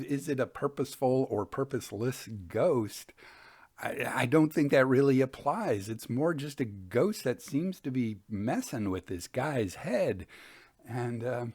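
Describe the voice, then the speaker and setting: A man hosting and reading Victorian ghost stories in a measured, expressive storytelling manner. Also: mid-range voice, husky. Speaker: podcast host and reader of ghost stories.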